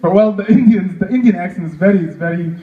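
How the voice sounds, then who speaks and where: High-voiced male comedian, echoey room. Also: recorded from a distance. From Egypt. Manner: halting, self-deprecating, acts out short exchanges. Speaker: stand-up comedian. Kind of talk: stand-up comedy, conversational storytelling. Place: live stand-up show in an echoey room. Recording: dull, echoey live room recording.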